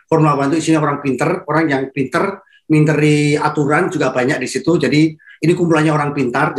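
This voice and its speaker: A man's voice: on the high side, roomy sound, low-quality recording. A man with a nasal, stuffed-up voice co-hosting a casual motor racing chat, playful and full of puns, speaking from a hotel room. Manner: playful, punning, chuckles often. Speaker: podcast co-host.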